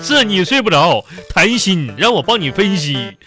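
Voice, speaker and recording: Sleepy, put-upon husband for radio comedy: Northeastern Chinese accent, whiny grumble, very animated pitch, light music underneath. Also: male voice, normal speed. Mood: exasperated complaining. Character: sleepy, exasperated, henpecked husband kept awake by wife. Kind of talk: live comedy radio drama.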